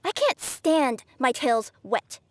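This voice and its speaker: A woman's voice: sits high, very animated, takes it slowly, no room sound, studio quality, heavily acted. A woman voicing a perky, chatty young cat-girl courier in a video game, bubbly and emphatic. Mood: grumbling. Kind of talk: English video game voice acting.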